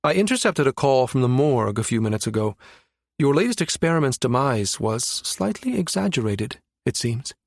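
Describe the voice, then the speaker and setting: A man's voice: medium pitch, big pitch swings, vocal fry, dry recording, studio quality. Man playing a smug, scheming villain. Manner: mocking, measured, menacing. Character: cold, scheming male villain, smug and calculating.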